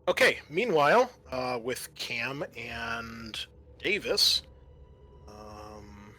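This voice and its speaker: A man narrating a tabletop roleplaying game as game master, explaining things in a halting, improvised way. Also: mid-range voice, lively intonation, pushed and bright.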